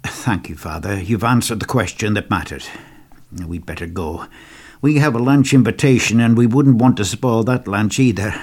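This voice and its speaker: A man's voice: fairly low pitch, normal speed, a little mellow, voice pushed down, lightly acted. A man voicing a troubled, blunt middle-aged husband who talks in a clipped, tense way. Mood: curt.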